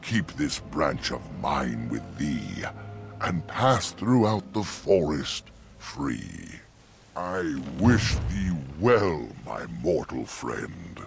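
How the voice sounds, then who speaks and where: Ancient, very slow rumbling tree spirit. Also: male voice, wildly swooping pitch, gravelly, exaggerated voice. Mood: solemn, kindly. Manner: solemn archaic rhyming speech. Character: ancient gentle talking oak tree spirit, speaking in rhyme. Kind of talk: fantasy game acting. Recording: music behind the voice.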